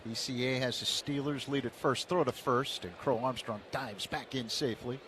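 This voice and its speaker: A man calls a baseball game for radio in a measured play-by-play voice that lifts when the action does. His pitch sits in an everyday middle range. Clear background noise runs under the broadcast. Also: steady tone. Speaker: play-by-play announcer.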